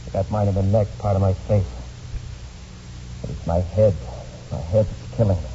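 Guy acting a bitter gruff war vet in an old radio play, really mellow dark voice, muffled sound. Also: low-pitched, steady tone, normal speed, slight background noise, natural voice. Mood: bitter, curt. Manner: curt, gruff, defensive. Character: bitter, reclusive battle-scarred war veteran. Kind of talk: 1940s radio drama acting.

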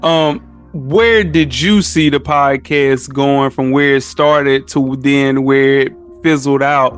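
A man's voice: medium pitch, steady tone, takes it slowly, smooth, soft background music. An American man chatting casually and informally as a podcast co-host. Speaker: podcast co-host appearing as guest. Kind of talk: casual chat.

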